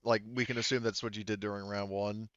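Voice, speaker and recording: A man's voice: lowish voice, little pitch movement, fast talker, fairly bright tone, clear, resonant tone, no room sound, dull audio. A man running a tabletop game as game master, narrating casually and thinking aloud in a hesitant way.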